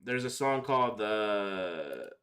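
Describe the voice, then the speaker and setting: A man's voice: lowish voice, expressive, slow, fairly bright tone. A young man chatting casually on a livestream, answering viewers in slangy, profane, opinionated talk. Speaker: live streamer.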